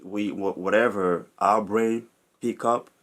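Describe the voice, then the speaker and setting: Male show host, very deep voice, halting, restart-prone questions. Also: steady tone, fairly bright tone. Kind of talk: casual interview host.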